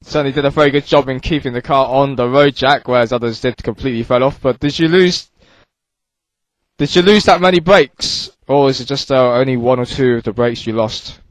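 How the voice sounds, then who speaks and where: A man commentating on an online race and interviewing drivers, speaking in a slightly halting, conversational way. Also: mid-range voice, steady tone, dry recording. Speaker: sim racing race commentator. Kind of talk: live sports commentary and interviewing.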